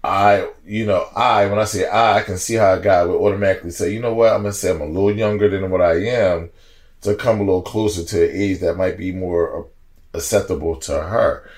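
A man in his forties, a podcast guest, telling stories in a casual, slangy, animated way and laughing as he goes. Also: low voice, brisk. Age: 40s. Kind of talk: casual storytelling chat.

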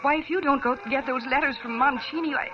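A woman's voice: high-pitched, fast talker, close to an everyday voice. Woman playing a worried young nightclub singer. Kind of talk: 1940s radio acting. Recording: dull old radio recording with music.

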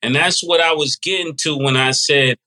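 Bright-voiced man asking pointed questions. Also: a little high, normal speed. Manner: probing, asks pointed questions. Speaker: podcast co-host. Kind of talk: casual podcast conversation.

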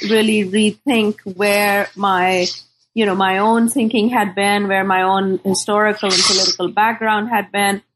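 Thoughtful, deliberate woman, noisy recording. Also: medium pitch. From Pakistan. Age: middle-aged. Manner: deliberate, hesitant, searching for words. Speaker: scholar and author being interviewed. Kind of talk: thoughtful academic interview talk.

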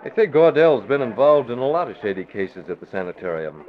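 An actor playing a composed, authoritative newspaper publisher with a wry, assured manner in an old radio drama. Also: male voice, medium pitch, big pitch swings, fairly quick, lightly acted. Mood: wry satisfaction. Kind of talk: 1940s radio acting. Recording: tinny narrow-band old radio recording.

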